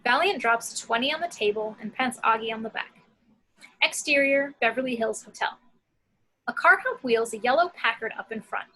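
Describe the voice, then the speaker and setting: A woman with a husky edge reading a screenplay's action descriptions as narration. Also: on the high side, expressive, moderate pace, sharp tone, low-quality recording.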